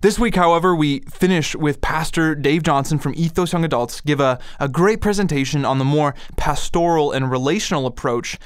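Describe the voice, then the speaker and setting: Smooth, dry-voiced male podcast host. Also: fairly high pitch, normal speed, few pauses, dry recording. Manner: casual, upbeat, filler-heavy. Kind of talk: podcast intro and donation appeal.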